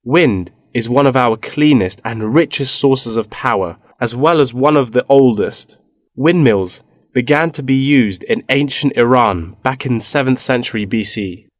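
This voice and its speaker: A man's voice: mid-range voice, measured pace, no echo, clean studio sound, lightly acted. A young man playing an earnest university student in a scripted dialogue, eagerly explaining his research. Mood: earnest, explanatory. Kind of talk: scripted listening-test dialogue.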